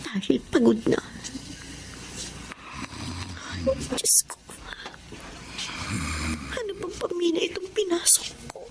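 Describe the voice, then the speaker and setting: A very high female voice plays a battered young wife in a radio drama. She is emotional, weary and pleading, on a clearly noisy recording. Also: very animated, unhurried, exaggerated voice. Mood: bitter despair. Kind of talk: Tagalog radio drama acting.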